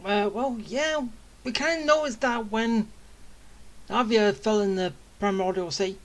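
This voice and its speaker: A woman's voice: mid-range voice, measured pace. A woman casually commenting over a video game as she plays.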